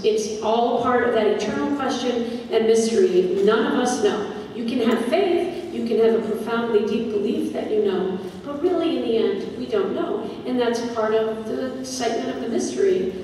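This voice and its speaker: A woman's voice: fairly high pitch, moderate pace, warm tone. A woman drama director talking informally and explaining things to an audience in a question-and-answer session. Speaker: drama director leading a post-show talkback. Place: large indoor hall with audience. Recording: echoey hall from far off, audience noise.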